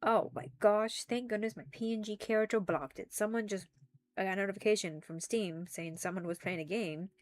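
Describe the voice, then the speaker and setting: A woman's voice: mid-range voice, brisk, a little mellow. A young woman streaming live, talking casually and rambling with frequent restarts and giggles, a little congested.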